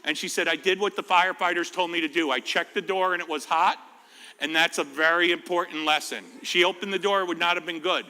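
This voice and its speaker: A man's voice: sits high, steady tone, brisk, sharp tone. A man with an American accent telling stories to children in an informal, jokey way, as a firefighter giving a talk. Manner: informal, jokey, asks the listeners questions. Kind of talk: animated storytelling to children. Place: reverberant indoor library room. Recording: reverberant hall.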